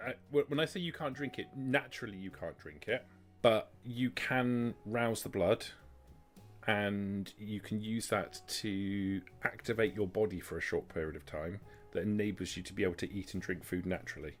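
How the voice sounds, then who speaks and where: A man with a fairly low voice leads a tabletop roleplaying game. He explains the rules and lore in careful detail, laying out each point as game master. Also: normal speed, faint music behind.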